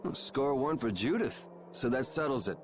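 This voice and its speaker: A man's voice: medium pitch, expressive, moderate pace, natural voice. A man playing a defiant, sardonic young hero in a video game, speaking casually and bluntly with a cocky edge. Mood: wry, blunt. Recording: clear background noise under game dialogue.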